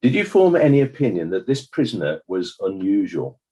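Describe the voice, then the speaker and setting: A man's voice: low voice, very animated, brisk, dark tone. A man playing a formal, polite examiner putting direct questions to witnesses in a dramatized inquest. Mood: formal, inquiring.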